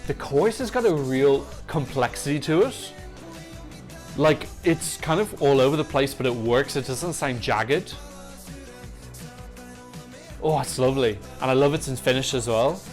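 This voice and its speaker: Excitable man reacting to songs. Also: mid-range voice, normal speed. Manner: chatty and excitable, swears casually. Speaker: Eurovision reaction YouTuber. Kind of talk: casual reaction commentary. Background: faint music playing.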